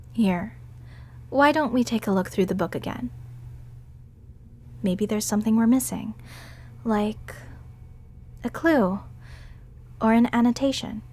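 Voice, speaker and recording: A woman voice actor performing a sweet, gentle character in an acted audio roleplay, talking in a bubbly, soothing way. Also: on the high side, slow, pauses often.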